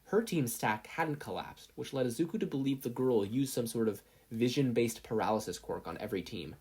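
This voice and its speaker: Lively female story narrator, slightly husky. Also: extremely low pitch, a little fast. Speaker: podfic reader.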